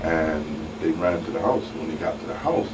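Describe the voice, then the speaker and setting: A man with a low voice talks casually in a panel discussion, often doubling back on words as he finds his phrasing. He is off the mic, with clear air-conditioning noise behind him. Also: expressive. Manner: halting, repeats words as he searches for phrasing. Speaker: talk show panelist. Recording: distant mic, clear background hum.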